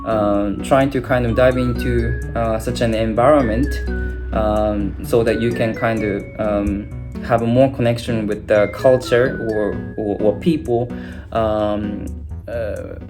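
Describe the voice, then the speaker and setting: A man's voice: fairly low pitch, steady tone, slow pace. A young man whose first language is Japanese, giving an unscripted video lesson with many fillers and restarts. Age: young adult. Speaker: language teacher. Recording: guitar music playing behind.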